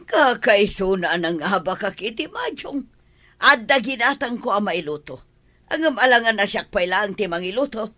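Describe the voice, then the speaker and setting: A woman's voice: medium pitch, lively intonation, normal speed, bright, forceful tone. A woman acting a nagging, grumbling, callous family matriarch in an Ilocano radio drama, always scolding and complaining.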